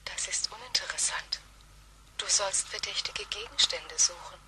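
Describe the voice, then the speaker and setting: Casting: female computer voice, breathy whisper, very sharp and bright, clipped and impersonal, sci-fi radio play. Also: mid-range voice, normal speed. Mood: detached, formulaic. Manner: speaks of itself by name in clipped formulas. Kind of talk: German sci-fi radio drama acting.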